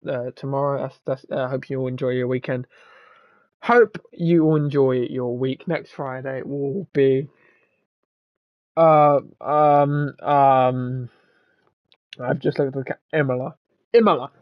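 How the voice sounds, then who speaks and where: A man with a worn-out, hoarse voice stumbles through a casual race wrap-up, restarting his sentences and swearing now and then. He talks slowly. His voice comes through a dull, dry lo-fi mic. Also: a little high, lively intonation, no echo. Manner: stammering, rambling, restarts often; swears, laughs at himself. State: hoarse, voice strained from shouting. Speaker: race commentator.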